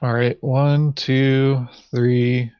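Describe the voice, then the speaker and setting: Slow-talking, lowish-voiced man, muffled dry mic. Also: level tone, clean tone, no room sound. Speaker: board game player on a playthrough show. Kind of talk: casual tabletop game chat.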